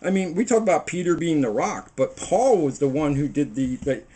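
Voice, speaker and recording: A middle-aged man talks through a loose, preachy monologue while working dough at his kitchen table. His voice sits a bit high for a man, and it is picked up by a camera a few feet away in a small home kitchen. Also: expressive, normal speed, warm tone, slightly rough. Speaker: home cooking show host. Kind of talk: rambling casual monologue while baking. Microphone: camera mic on the table a few feet away.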